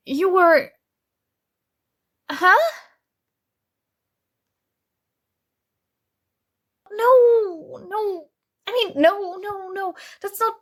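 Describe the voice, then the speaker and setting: A woman's voice: sits high, heavily acted. A woman playing a kind, earnest and oblivious female friend in an intimate ASMR roleplay, speaking casually and a little hesitantly. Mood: flustered, stammering. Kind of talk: ASMR roleplay, intimate one-sided dialogue.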